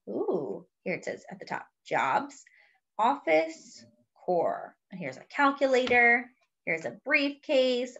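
A woman reading a children's picture book aloud expressively, pausing to explain words and pictures as if to young children. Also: a little high, sharp tone. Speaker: host of a children's read-aloud.

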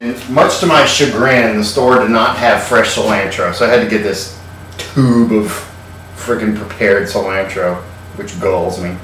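A man's voice: lowish voice, measured pace, a bit bright, recorded from a distance, slight background noise. Sardonic man in an echoey kitchen. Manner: sardonic, irreverent, swears casually. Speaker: host. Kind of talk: comedic home cooking show. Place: home kitchen.

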